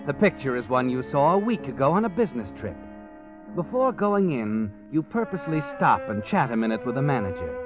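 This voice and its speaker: A man narrating a radio drama in the second person, insinuating and leading. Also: medium pitch. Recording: dull old radio recording. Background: dramatic orchestral music.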